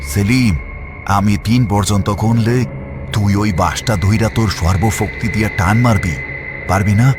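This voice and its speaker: A man playing a commanding, quick-witted village guard leader with a rural Bangladeshi Bengali accent in an animated horror story. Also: fairly low pitch, over music, natural voice. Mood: tense, plotting.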